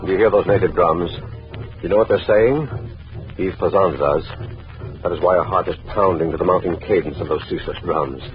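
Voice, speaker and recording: A man giving ominous, measured narration for an old radio mystery. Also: deep voice. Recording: muffled old radio recording, loud music.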